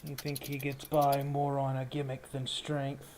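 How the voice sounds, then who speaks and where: A man as a tabletop warrior leader, slow pace, understated, grudgingly respectful, faint background noise. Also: mid-range voice, monotone, darkish tone, close to an everyday voice. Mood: measured, guarded.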